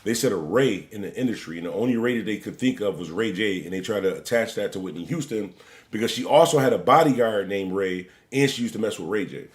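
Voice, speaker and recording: Man in his forties, lively swinging pitch, loose rambling stream chat, repeats himself. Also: fast talker. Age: 40s. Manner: "bro" and "gang" at line ends. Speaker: stream host. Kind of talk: casual live-stream commentary.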